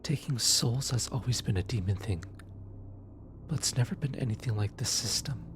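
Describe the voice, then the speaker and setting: A man playing a gentle, apologetic demon who comforts lost souls in an ASMR roleplay, speaking softly and hesitantly. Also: medium pitch, normal speed, music in the background, close to an everyday voice. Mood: sombre, confiding. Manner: soft, soothing, hesitant with stammers. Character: gentle, apologetic male demon soul collector comforting the newly dead. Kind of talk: ASMR roleplay audio drama.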